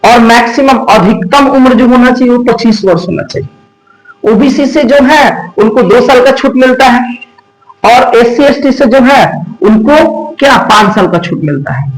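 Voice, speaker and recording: Bihari guy teaching exam prep, really high pitched voice, repeats stuff, roomy echo. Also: animated, normal speed. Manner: repeats key phrases and asks then answers own questions. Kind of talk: instructional explanation to students. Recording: echoey room.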